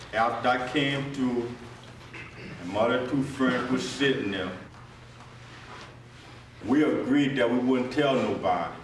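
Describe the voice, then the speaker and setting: Male, colloquial personal testimony, unhurried delivery, distant mic with heavy room echo. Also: medium pitch. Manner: colloquial, plain-spoken. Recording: very echoey room, distant mic, faint noise.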